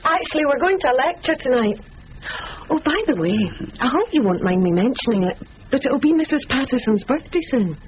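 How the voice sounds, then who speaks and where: Dull vintage radio recording, chatty woman, high voice, brisk, polite, small-town. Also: animated. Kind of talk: 1970s radio drama acting. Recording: muffled old radio broadcast, faint noise.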